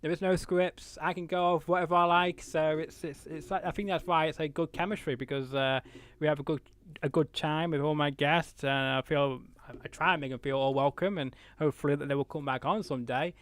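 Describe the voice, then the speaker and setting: A man's voice: a little high, fairly even intonation, a little fast, no echo. A man hosting a live, unscripted interview show, chatting in a rambling, jokey way. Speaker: show host.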